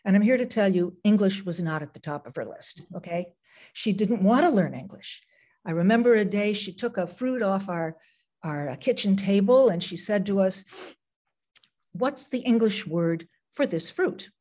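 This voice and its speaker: A woman's voice: mid-range voice, lively intonation, moderate pace, warm tone. A woman whose first language is Greek, an author speaking over a video call. She reads aloud and then talks about her writing in a reflective way, with frequent ums.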